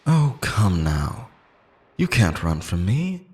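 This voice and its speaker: A man's voice: on the low side, sing-song, takes it slowly. A man playing an obsessive, lonely immortal lover in an intimate, theatrical roleplay monologue, pleading and possessive, moving between tenderness and menace. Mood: coaxing, menacing.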